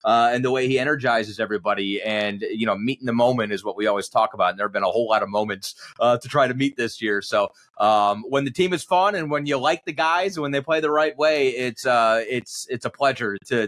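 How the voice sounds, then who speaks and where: A man's voice: mid-range voice, fairly quick, a bit bright. A man who works as a sports radio announcer, talking reflectively in a conversational interview and often circling back over his phrases.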